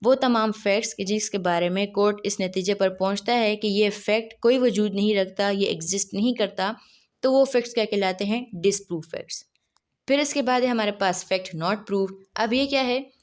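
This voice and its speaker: A woman's voice: medium pitch, fairly quick. A woman with a Pakistani accent explaining like a law lecturer, didactic, often posing questions and answering them herself.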